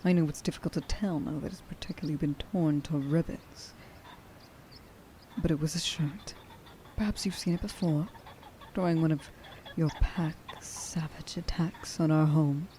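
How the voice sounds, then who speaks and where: A woman playing a stern, vengeful werewolf huntress in an ASMR roleplay, speaking to the listener with deliberate contempt and menace, often slipping into a breathy whisper. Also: low-pitched, fairly even intonation, mellow, slight background noise, lightly acted. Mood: probing, contemptuous. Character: stern, vengeful female werewolf huntress, grieving a lost friend.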